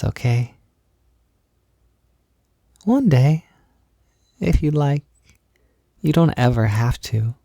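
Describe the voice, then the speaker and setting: Man playing a gentle boyfriend, soft and gravelly. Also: on the low side, dry recording, clean studio sound, full character voice. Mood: tender, soothing. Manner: soothing, reassuring, unhurried, tender. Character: gentle, affectionate boyfriend comforting his insecure partner. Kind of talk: intimate roleplay audio, soft-spoken ASMR.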